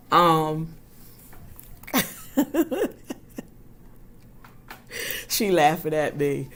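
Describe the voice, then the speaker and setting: Low, husky-voiced woman chatting. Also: slight background noise. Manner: chatty and warm toward her viewers, frequent ums. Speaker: livestream host. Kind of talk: casual livestream chat.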